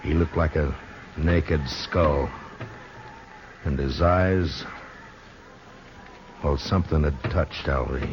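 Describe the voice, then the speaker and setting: An actor playing a weary, steady frontier lawman narrating a radio western in a measured, grave way. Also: a bass voice. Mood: grave, watchful. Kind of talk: dramatic old-time radio western narration. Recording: dull, noisy old radio recording.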